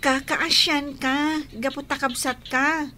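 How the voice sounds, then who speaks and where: A woman's voice: sits high, steady tone, takes it slowly, pushed and bright, slight background noise, exaggerated voice. A woman with an Ilocano accent playing a caring, devoted young sister in a radio drama. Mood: tender, reassuring.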